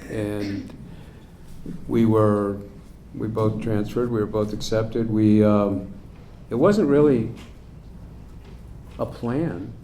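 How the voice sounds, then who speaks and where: A man's voice: lowish voice, slow, mellow. An older man from Wyoming, a hesitant interview guest with lots of fillers and dry humor. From Wyoming, United States. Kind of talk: casual remote interview. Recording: roomy with faint hiss.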